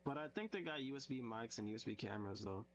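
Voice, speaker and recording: A man's voice: mid-range voice, expressive, quick pace, muffled recording, a little hiss or hum. A man on voice chat through a handheld console's mic, easygoing and hesitant, restarting his words. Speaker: friend on voice chat. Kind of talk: casual gaming chat.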